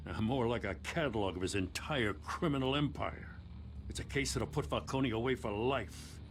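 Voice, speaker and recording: A man playing a resolute police lieutenant in a game drama. His mid-pitched voice is firm and matter-of-fact, over faint ambient noise. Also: normal speed, close to an everyday voice.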